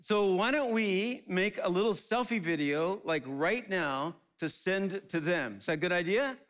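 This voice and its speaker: A man's voice: high-pitched, lively intonation, unhurried, fairly bright tone. A man hosting a live church service, upbeat and rallying the crowd. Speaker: church host.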